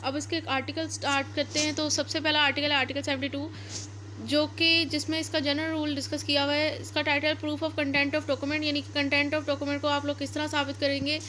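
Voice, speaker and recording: A woman's voice: high-pitched, level tone, normal speed, pushed and bright, a little hiss or hum. A woman with a Pakistani accent lecturing on law point by point, mixing in English legal terms.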